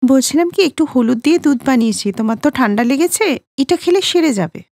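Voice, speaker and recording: A woman's voice: sits high, lively intonation, fast talker, darkish tone. A woman voicing a lonely, neglected young wife and mother in a cartoon story, plaintive and pointed. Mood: gentle, everyday. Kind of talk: cartoon story character acting.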